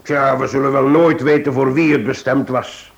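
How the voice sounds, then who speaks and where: Casting: male actor as composed chief inspector for radio play, mid-pitched, courteous, measured and firm. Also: steady tone, vocal fry, highs cut off, close to an everyday voice. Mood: warm, relieved. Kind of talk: radio drama acting.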